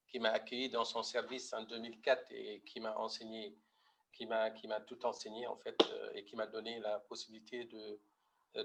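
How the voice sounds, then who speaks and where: A man's voice: mid-range voice, vocal fry, a bit bright. A middle-aged man from Mauritania giving a medical webinar lecture, hesitant, with many fillers and restarts. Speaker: pediatric heart surgeon presenting.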